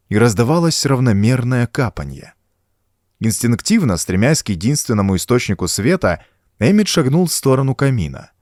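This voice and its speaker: A man narrating a horror story as an audiobook, reading the scripted prose in a suspenseful, dramatic and expressive storytelling manner. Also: lowish voice, no echo, clean studio sound.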